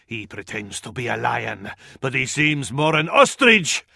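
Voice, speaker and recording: An actor with an Arabic accent playing a fervent, scornful medieval advisor to a sultan in a video game, in a florid, declamatory style. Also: male voice, mid-range voice, very animated, a little slow, gravelly, pushed and bright, no echo, clean studio sound, full character voice. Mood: scornful, grave. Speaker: battle advisor in a strategy game. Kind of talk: theatrical video game voice acting.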